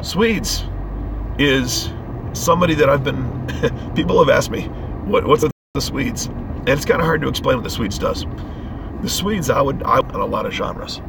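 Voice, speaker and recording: A man with a hoarse voice from a head cold, rambling and ranting as he vlogs while driving. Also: medium pitch, normal speed. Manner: rambling, earnest rant. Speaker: vlogger. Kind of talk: casual driving vlog. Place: inside a moving car. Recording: car road noise.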